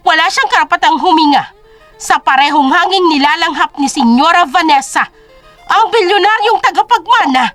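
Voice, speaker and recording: A woman puts on a domineering older society matriarch in an audio drama. She is imperious and scornful, with a very high, animated voice over faint music. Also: moderate pace. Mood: furious, imperious. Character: domineering, furious older rich mother-in-law, woman.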